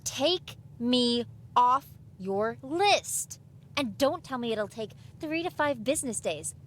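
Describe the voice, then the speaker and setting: A woman's voice: a little high, animated, slow, a little hiss or hum. A woman playing a sceptical, sarcastic seventeen-year-old modern girl in an audio drama. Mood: annoyed, sarcastic. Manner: sarcastic, dismissive.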